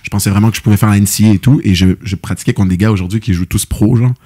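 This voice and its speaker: A young man with a Québécois accent talks fast on a podcast, piling up fillers and slang as he tells his stories. His voice sits fairly low and sounds mellow and relaxed. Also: slightly rough. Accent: Québécois French. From Quebec, Canada. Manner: quick, loose storytelling with many fillers and slang. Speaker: guest, football player. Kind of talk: casual podcast chat.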